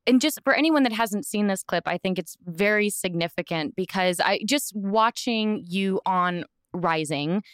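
A woman's voice: medium pitch, moderate pace, few pauses, clear, resonant tone, dry recording, clean studio sound. A woman hosting a podcast, asking long, rambling questions in casual conversation. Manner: warm, rambling questions with fillers and restarts. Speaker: podcast host. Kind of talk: casual interview chat.

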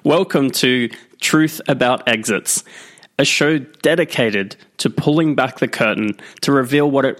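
A man hosting a business podcast with a brisk, friendly delivery. His voice sits at an ordinary pitch. It is recorded close and dry, with no room sound. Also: dry recording. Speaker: podcast host and deal maker. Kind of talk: scripted intro then casual interview.

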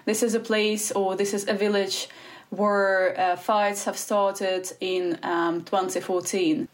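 Earnest woman, halting speech. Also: medium pitch, level tone. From Ukraine. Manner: earnest, halting, with many restarts and fillers. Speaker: guest, spokesperson for a Ukrainian organisation. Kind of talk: interview answers.

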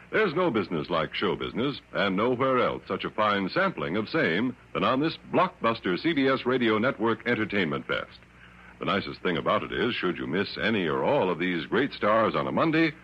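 A man's voice: lowish voice, breathy edge. A man with an American accent reading a scripted 1960s network radio promo in a polished, showy way. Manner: polished, showy, wordy delivery. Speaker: network announcer. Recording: muffled vintage radio recording.

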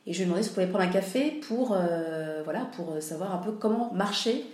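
A woman's voice: on the low side, fast talker, a little mellow. A woman from France talking casually as a podcast guest, hesitating often with fillers and restarts. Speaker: interview guest. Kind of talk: casual interview conversation. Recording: roomy echo.

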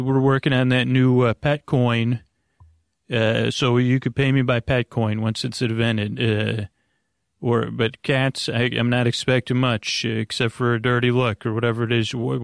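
Guy with a creaky voice doing a meandering sleep podcast, keeps restarting sentences, really dry recording. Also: medium pitch, no room sound. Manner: halting, meandering, repeats and backtracks. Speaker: podcast host. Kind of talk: rambling, tangential bedtime podcast monologue.

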